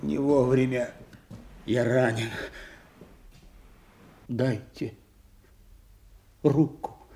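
Cruel duke, medium pitch, ponderous and very slow, cold authority, classical stage-tragedy style. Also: male voice, steady tone, mellow. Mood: pained, ordering. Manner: heavy, deliberate, theatrical. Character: cold, brutal duke, sadistic and commanding.

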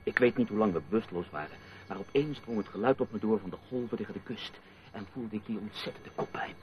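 A man playing an intense, suspicious patient in a radio drama, speaking with insistent, deliberate urgency. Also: on the low side, background noise, lightly acted. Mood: recalling, grave. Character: intense, suspicious male patient convinced of brainwashing. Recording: dull old radio play recording.

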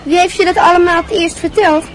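A woman's voice: very high-pitched, fairly even intonation, a little fast. A woman playing a curious, naive young boy who keeps asking questions in a radio play. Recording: loud crowd and noise behind.

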